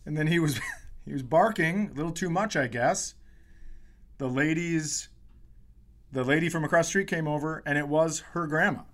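A man's voice: fairly high pitch, normal speed, pauses often. A man co-hosting a comedy podcast, telling an anecdote in a casual, offhand, matter-of-fact way.

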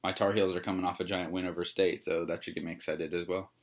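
A young man co-hosting a casual sports podcast, talking in a relaxed, rambling, somewhat monotone way. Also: low-pitched, quick pace. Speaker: podcast co-host.

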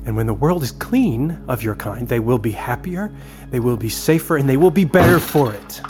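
A man's voice: mid-range voice, lively intonation, normal speed, music in the background, lightly acted. An actor playing a bitter, unforgiving zealot father in an audio drama, speaking in a formal, severe, preachy way. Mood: cold fury.

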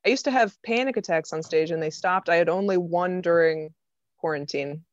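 Slightly low American woman, lo-fi sound. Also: normal speed, no room sound. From Vermont, United States. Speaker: podcast guest, stand-up comedian. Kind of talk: casual chat. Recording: slightly dull, lo-fi microphone sound.

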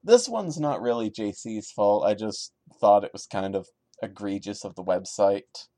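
A man's voice: lowish voice, animated, measured pace, mellow, no echo. A man hosting a podcast, reading a horror story dramatically with sarcastic, mocking asides. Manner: theatrical, mocking. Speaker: podcast host reading and commenting.

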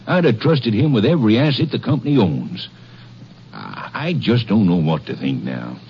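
An actor playing an anxious, hesitant mill superintendent in a 1950s radio drama. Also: male voice, fairly low pitch, animated, normal speed, vocal fry, a little hiss or hum. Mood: uneasy. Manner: hesitant, uneasy. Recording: muffled old radio broadcast.

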